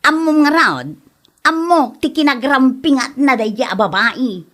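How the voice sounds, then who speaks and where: A woman's voice: sits high, animated, a little slow, a bit bright. A woman playing a spiteful, judgmental village gossip in an Ilocano comedy drama, exclaiming indignantly with an Ilocano accent. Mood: scandalized gossiping.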